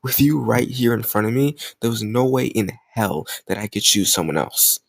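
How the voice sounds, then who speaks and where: A man's voice: medium pitch, normal speed, vocal fry, no room sound. A man playing a jealous, stubborn young lover in an intimate romantic audio roleplay, speaking emotionally and insistently in casual language. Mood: frustrated confession. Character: jealous, stubborn young male lover confessing his love.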